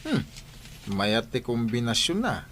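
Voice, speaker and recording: Man acting a lively, friendly male neighbour. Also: medium pitch, measured pace, slight background noise. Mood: friendly, curious. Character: friendly chatty male neighbour admiring flowers. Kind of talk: Ilocano radio drama acting.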